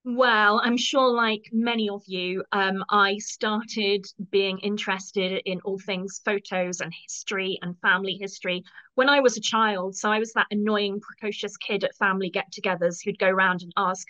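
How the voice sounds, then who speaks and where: A woman's voice: medium pitch, fairly even intonation, moderate pace, bright, forceful tone, dull audio. An English woman in her fifties with an English accent, chatting casually as an interview guest, friendly and self-deprecating with frequent ums. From England. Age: 50s. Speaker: guest, professional photo organizer.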